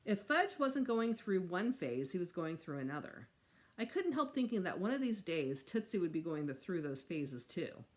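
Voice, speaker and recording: A woman teacher reading a children's book aloud in an expressive narrating style. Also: fairly low pitch.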